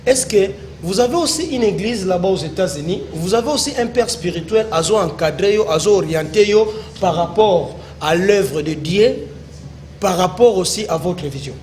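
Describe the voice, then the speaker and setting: A man hosting a television interview, animated, switching between French and Lingala. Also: high-pitched, normal speed, slight background noise. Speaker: TV host.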